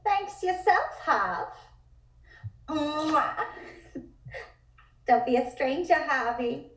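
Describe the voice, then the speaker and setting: Woman playing a wry, slow-talking dame. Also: high voice, animated, fairly bright tone, full character voice. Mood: wry, flirty. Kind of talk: dramatic table read of a comic script. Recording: distant, echoey room sound.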